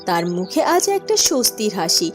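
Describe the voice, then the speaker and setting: A woman's voice: medium pitch, very animated, normal speed, over music. A woman narrating a scripted fairy tale as a cartoon storyteller.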